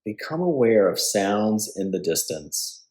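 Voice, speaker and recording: Male meditation guide: deep-pitched, very unhurried, calm instructional delivery with deliberate pauses. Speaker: yoga nidra instructor.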